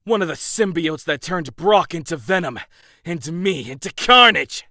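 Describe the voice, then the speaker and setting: A man voicing a murderous, obsessed symbiote supervillain in a comic book dub, with a sinister, drawn-out delivery. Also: on the high side, animated, bright, forceful tone, no echo, clean studio sound. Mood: obsessed, yearning.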